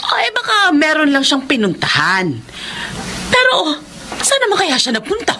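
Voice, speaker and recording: Shrill domineering Filipino mother, swooping pitch. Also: huge pitch swings, moderate pace, heavily acted. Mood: suspicious. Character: domineering, scolding, hot-tempered mother. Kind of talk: Filipino radio drama acting. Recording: clearly noisy background.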